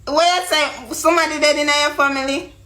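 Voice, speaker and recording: High-voiced accusing Jamaican woman, echoey noisy recording. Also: steady tone, fairly quick, pushed and bright. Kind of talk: heated prank phone call. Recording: echoey room with clear noise.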